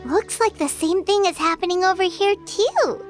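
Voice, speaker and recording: A woman's voice: moderate pace, soft background music. A woman voicing a small, chirpy, curious girl companion in a video game. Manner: high, bouncy, inquisitive.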